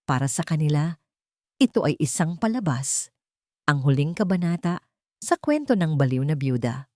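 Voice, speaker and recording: A woman's voice: deep voice, darkish tone, no room sound. A woman reading a scripted, dramatic romance story as its narrator.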